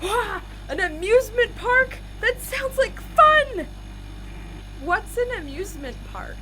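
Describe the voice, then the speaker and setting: A woman playing an excitable, reckless, childlike young female musician in an audio drama, exuberant and naive. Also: very high voice, slow, slight background noise, heavily acted, poor audio. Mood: excited.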